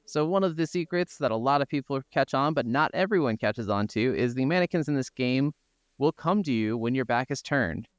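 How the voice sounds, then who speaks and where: A man's voice: medium pitch, animated, fairly quick, dry recording, clean studio sound. A man co-hosting a podcast, chatting casually about games with some offhand swearing. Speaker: podcast co-host. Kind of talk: casual gaming podcast chat.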